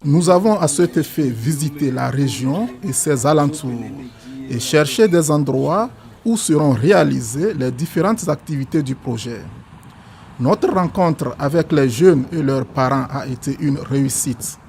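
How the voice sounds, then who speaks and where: A man reading a scripted documentary narration in a gravelly voice. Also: a little high, lively intonation, unhurried, darkish tone. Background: music.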